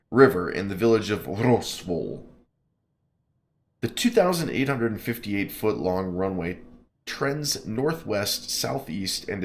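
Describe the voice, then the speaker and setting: A man's voice: on the low side, slight background noise. A man streaming a flight simulator, chatting casually and reading game text aloud with jokes and stumbles over place names.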